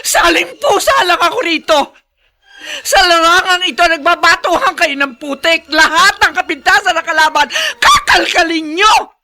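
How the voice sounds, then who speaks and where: An actress playing the distressed, defensive wife of a politician in a Filipino radio melodrama, emotional and indignant. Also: female voice, very high voice, lively intonation, normal speed, pushed and bright, slight background noise, full character voice. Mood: anguished, indignant.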